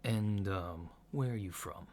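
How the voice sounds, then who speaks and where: A man acts out a courteous alien doctor for an ASMR checkup. The voice is soft and fairly low, moving very slowly through methodical questions with hesitant, reassuring pauses. Noise is clearly audible behind the lo-fi recording. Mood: calm, reassuring. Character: polite, unhurried human-looking alien doctor giving a checkup. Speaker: ASMR performer. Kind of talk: soft-spoken ASMR roleplay.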